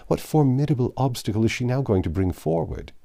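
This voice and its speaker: A man reading aloud a fond, affectionate mother who talks in a brisk, coaxing way. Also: medium pitch, expressive, gravelly, mellow, pitched above the performer's own voice. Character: warm, fond older mother, woman.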